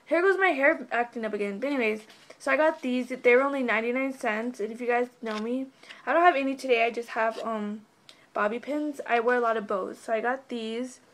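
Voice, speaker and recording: A woman's voice: fairly high pitch, fairly quick, a bit bright. A young woman in a casual haul vlog, losing her voice and a bit sick, rambling in a bubbly way with lots of fillers, recorded on a webcam in her bedroom. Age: young adult. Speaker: vlogger. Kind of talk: casual haul video chat. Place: bedroom, indoors.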